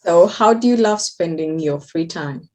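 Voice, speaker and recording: A woman's voice: lowish voice, lively intonation, unhurried, a little mellow, low-quality recording. A woman interviewing a guest, encouraging her and asking simple questions. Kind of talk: casual interview.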